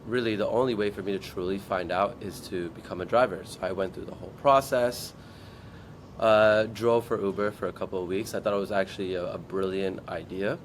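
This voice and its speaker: A man from Guam, a startup founder, telling his story in an interview in a relaxed way and acting out his past thoughts. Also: lowish voice, brisk, a little hiss or hum. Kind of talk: interview answers.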